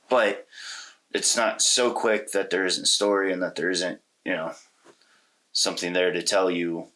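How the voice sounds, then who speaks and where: A man with a low-pitched voice and a bright, forward edge speaks as a podcast co-host. He keeps it conversational and informal, with slangy turns of phrase. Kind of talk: casual conversational movie discussion.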